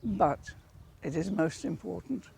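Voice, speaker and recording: A woman's voice: on the low side, animated, a little slow, vocal fry, dark tone. Elderly British woman, noisy outdoor recording. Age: 80s. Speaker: war widow being interviewed. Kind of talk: reminiscing in an interview. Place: outdoors at a war cemetery.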